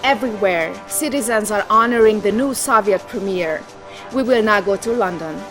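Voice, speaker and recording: An actress playing a formal, reverent state news announcer delivering a declamatory propaganda newscast in a game. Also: female voice, medium pitch, normal speed, soft background music, natural voice. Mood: solemn, proud.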